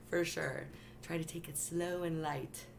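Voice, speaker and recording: A woman hosting a live tarot stream, talking chattily to her viewers and reading cards gently, holding back her loud voice because it is strained after coughing. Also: low-pitched, steady tone, slow pace, gravelly, noisy background. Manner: says she has a loud voice but keeps it soft.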